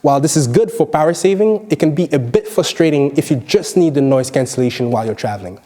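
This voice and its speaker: Mellow-voiced man narrating a product review. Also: a little high, animated, fast.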